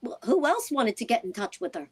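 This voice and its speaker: A woman's voice: on the high side, sing-song, a little fast, darkish tone, slightly rough. A woman playing a concerned, persistent housewife in a vintage-style radio play, earnest and inquisitive. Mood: worried, insistent. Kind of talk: vintage radio drama acting.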